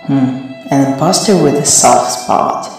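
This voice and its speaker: A woman's voice: a bass voice, a little slow, a little mellow. A woman playing a wary spacewoman doctor's private thoughts in a comic dub, with an echoing thought effect. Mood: suspicious. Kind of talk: comic dub inner monologue. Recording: heavy echo, faint music behind.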